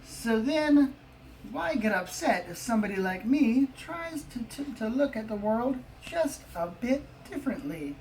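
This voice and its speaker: A woman's voice: on the high side, very animated, measured pace, darkish tone. A woman voicing a cheeky, imaginative schoolboy from a storybook, earnest and boastful as he argues his tall tales. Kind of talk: storybook character reading. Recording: echoey, distant, faint background noise.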